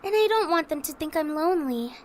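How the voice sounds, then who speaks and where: Very high-voiced, shy schoolgirl. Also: lively intonation, fairly quick, darkish tone, slight background noise. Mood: hesitant. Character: shy, gentle schoolgirl girlfriend in a dating game. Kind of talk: visual novel voice acting. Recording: music under the voice.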